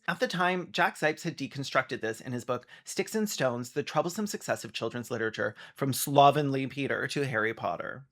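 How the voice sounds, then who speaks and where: A man hosting a video essay, delivering scripted commentary in a sarcastic, deadpan manner. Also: mid-range voice.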